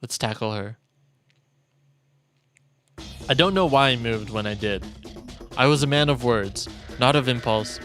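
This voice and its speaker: A man's voice: mid-range voice, moderate pace, a bit bright. A man streaming a game, expressively reading visual novel narration aloud. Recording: dry close mic, faint game music.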